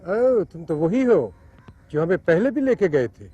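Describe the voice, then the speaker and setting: An imperious English colonial gentleman in a period TV drama. His voice is high and swings widely in pitch, with short, clipped phrases, on a dry recording. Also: big pitch swings, a little slow, husky, no room sound, dull audio, full character voice. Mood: curt. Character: curt, haughty colonial English gentleman passenger. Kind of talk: television period drama acting.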